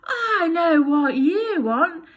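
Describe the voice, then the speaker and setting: A woman's voice: extremely high pitch, lively intonation, extremely slow, background noise, pitched above the performer's own voice, exaggerated voice. A woman doing Burglar Bill, a bluff burglar. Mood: storybook. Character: gruff kindly male burglar, grown man.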